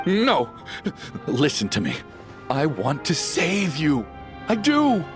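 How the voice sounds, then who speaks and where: Grieving game father, stubborn and anguished, wide swings in pitch, faint score behind. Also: wildly swooping pitch, slow, heavily acted. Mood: pleading. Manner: anguished, pleading, rising to shouts. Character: grieving middle-aged father, stubborn and desperate. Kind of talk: video game voice acting. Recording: game audio with faint music.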